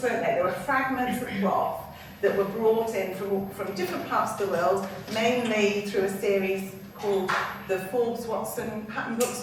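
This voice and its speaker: A woman from a museum's collections side explaining its history at a gallery talk, with frequent hesitations. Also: medium pitch. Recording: distant echoey mic with noise.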